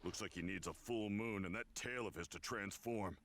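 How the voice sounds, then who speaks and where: An actor voicing a stern, gruff male warrior mentor in a video game, curt and commanding. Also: lowish voice, normal speed, fairly bright tone. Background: game music.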